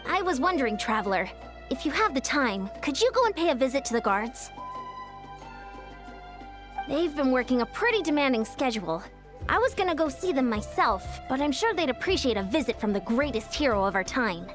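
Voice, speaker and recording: A woman's voice: high voice, big pitch swings, fairly quick, over music. A woman playing an earnest young female character in a video game.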